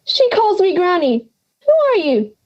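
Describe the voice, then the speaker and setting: A woman's voice: very high-pitched, big pitch swings, unhurried, darkish tone, lo-fi sound, exaggerated voice. A young woman playing a cranky, forgetful old granny in an audio drama, brusque, sarcastic and theatrical. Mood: mocking. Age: young adult.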